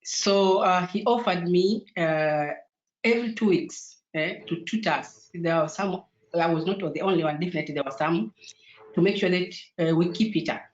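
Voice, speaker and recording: A woman's voice: on the low side, moderate pace. A woman from Tanzania whose first language is Sukuma, speaking as a guest on an online panel, with hesitant speech full of fillers and restarts. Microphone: video call. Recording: roomy, dull video call audio.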